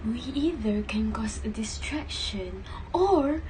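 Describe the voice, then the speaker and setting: A young woman playing a bossy, cautious teenage girl thief in reader's theater, hushed and scolding, often whispering. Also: on the high side, animated, slow pace, slight background noise, low-quality recording. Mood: hushed, plotting. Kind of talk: scripted reader's theater acting. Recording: music bed under the voice.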